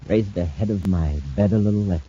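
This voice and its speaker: An actor playing a sly space castaway feigning weakness, speaking haltingly in an old-time radio serial. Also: male voice, low-pitched, dark tone, close to an everyday voice. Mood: weak, groggy. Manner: halting, feeble, hesitant. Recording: muffled old radio recording, faint hiss.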